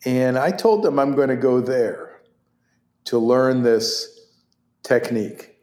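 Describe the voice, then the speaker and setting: A man's voice: medium pitch, slow pace, reverberant. A man in his sixties whose first language is Hebrew, telling stories as an interview guest with lots of laughs and restarts. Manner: animated, laughs often, many restarts. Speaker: interview guest, orthopedic surgeon. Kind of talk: conversational storytelling.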